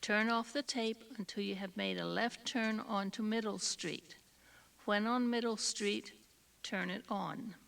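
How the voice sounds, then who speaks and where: A woman reading scripted instructions as an announcer, formal and measured. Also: mid-range voice, fairly even intonation, creaky, a bit bright, far from the mic. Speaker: announcer giving directions.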